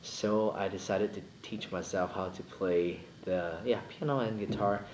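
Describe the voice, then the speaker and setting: Young man from Singapore, low-pitched singer guest, joking and rambling, roomy echo with faint background hum. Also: fairly even intonation, measured pace, darkish tone. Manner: playful, jokey, rambling with many fillers. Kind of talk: casual interview chat.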